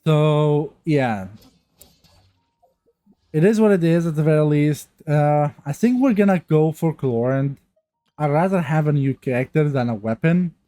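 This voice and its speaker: A man with a stuffy, cold-clogged nose talks casually over a game, looping back and restarting his sentences as he goes. His voice is mellow and dark, and the recording is dry and close on a new mic. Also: on the high side, animated, a little slow, no echo. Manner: rambling, many restarts and fillers. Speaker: gaming youtuber. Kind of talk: casual gameplay commentary. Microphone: new mic, untuned.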